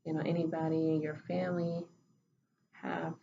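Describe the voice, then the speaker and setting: A woman playing a clinician in a role-played voice evaluation, asking calm, methodical questions. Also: sits low, moderate pace, vocal fry, highs cut off. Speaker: student clinician in a mock voice evaluation.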